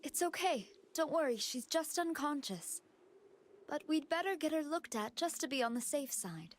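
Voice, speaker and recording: A woman's voice: high-pitched, lively intonation, moderate pace. A woman playing a polite, earnest scientist with a remorseful air, speaking carefully and formally in anime game style. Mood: reassuring, grateful. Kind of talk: dubbed anime video game acting. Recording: music behind the voice.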